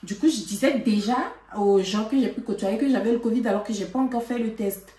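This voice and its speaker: A woman vlogger telling a story in casual chat, animated and laughing, with lots of fillers. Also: mid-range voice, fairly quick. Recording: roomy, from a distance.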